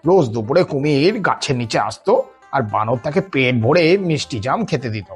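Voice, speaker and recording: A man narrating a scripted children's story in an animated, husky voice. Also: medium pitch, moderate pace, soft background music.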